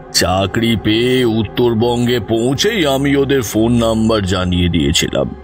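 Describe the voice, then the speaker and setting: A man playing a grave grandfather who tells of evil spirits in a measured, suspenseful horror audio story. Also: fairly low pitch. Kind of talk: slow dramatic horror storytelling.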